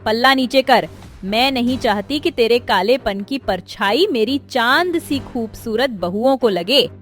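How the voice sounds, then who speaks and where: Scornful middle-aged mother-in-law , female performer, high-pitched, nagging and taunting, for a melodramatic story. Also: lively intonation, a little slow, soft background music. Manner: sharp-tongued, taunting. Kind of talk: melodramatic Hindi moral story acting.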